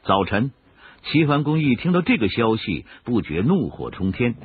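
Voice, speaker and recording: A man's voice: mid-range voice, big pitch swings, normal speed, a little hiss or hum. A man narrating a historical radio drama in a scripted, dramatic storytelling style. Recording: phone-like radio recording over music.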